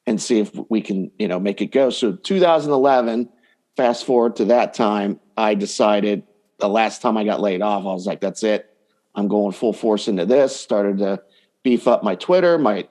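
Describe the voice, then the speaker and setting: A man's voice: on the low side, darkish tone. A man talking casually and explaining his coaching work as a guest in an interview. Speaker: dating coach, podcast guest.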